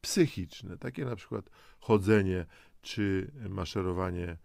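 A man speaks straight to the listener as a motivational host, in a low voice with a gravelly fry. He coaxes and instructs, posing questions as he goes. The sound is close and dry. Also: measured pace, darkish tone, dry recording. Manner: coaxing, instructive, with rhetorical questions. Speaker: host of a health and lifestyle audio programme. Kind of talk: semi-scripted motivational narration addressed to the listener.

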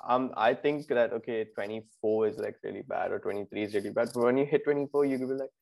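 A man in his twenties co-hosting a podcast in casual chat, laid-back and quick to laugh. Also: medium pitch, creaky, a little mellow. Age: 20s. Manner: laid-back, laughs often, says "bro". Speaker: podcast co-host.

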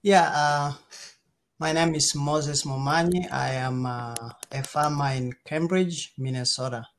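Slow, halting Kenyan man. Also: a little high. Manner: halting, pausing often mid-sentence. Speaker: farmer, interview guest. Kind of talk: casual interview storytelling.

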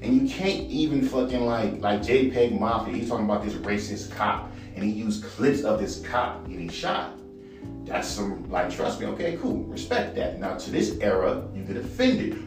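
A man with a baritone voice ranting casually and profanely as a podcast host, rambling and animated. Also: normal speed. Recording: echoey room, far from mic, music behind.

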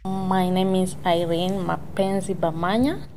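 Slow, halting woman. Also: fairly low pitch, little pitch movement, dark tone, soft background music. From Congo. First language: French. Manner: halting, searching for words. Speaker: adult English learner. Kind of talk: personal introduction.

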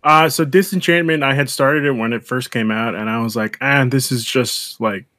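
A man's voice: medium pitch, normal speed, sharp tone, no room sound, clean studio sound. A man co-hosting a casual chat show, rambling through his thoughts with restarts and fillers. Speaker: podcast co-host.

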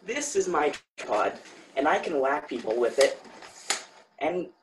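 A teenage boy in a casual call, competitive and full of showing off. His voice sits a bit higher than most guys'. Faint noise runs underneath. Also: big pitch swings, measured pace.

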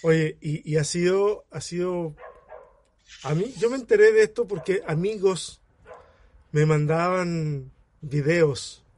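Male host, 40s, high voice, dry close mic, chatty and laughing. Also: slow pace, darkish tone, dry recording. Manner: chatty, laughs often, addresses guest by name. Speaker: podcast host. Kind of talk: casual interview.

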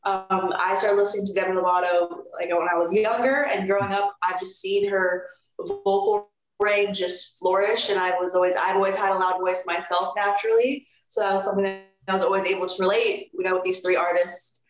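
A woman's voice: medium pitch, a little fast. Teen girl from Florida, chatty and upbeat, singer being interviewed, echoey room. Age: teens. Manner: chatty, upbeat, uses fillers like "like" and "you know". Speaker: guest singer. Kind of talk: casual interview chat.

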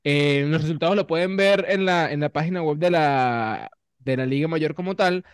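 A man's voice: on the high side, normal speed, smooth, dry recording, studio quality. A man with a Venezuelan accent co-hosting a podcast, chatting playfully and teasingly, often repeating himself. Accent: Venezuelan Spanish. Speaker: podcast co-host. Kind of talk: casual chat.

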